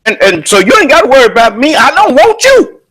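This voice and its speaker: Loud, high-voiced preaching man. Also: sing-song, quick pace, breathy edge. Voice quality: loud, booming voice. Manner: emphatic preaching with rhetorical questions. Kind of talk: sermon at a prayer service.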